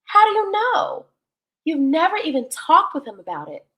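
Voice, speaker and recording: Spirited, sassy storybook housekeeper , acted by a woman storyteller. High, swooping, direct. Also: huge pitch swings, moderate pace, sharp tone, heavily acted. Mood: challenging. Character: spirited, sassy, warm-hearted female housekeeper Sunday.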